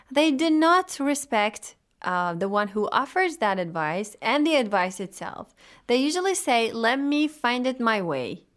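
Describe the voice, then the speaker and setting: A woman hosting a radio discussion show, speaking in a measured, formal way and sometimes pausing to find her words. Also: a little high.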